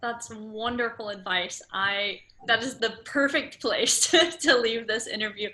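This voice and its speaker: A woman's voice: on the high side, unhurried, pushed and bright. A woman interviewer talking in a casual, conversational way, with tentative phrasing and enthusiastic affirmations. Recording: noticeable background noise.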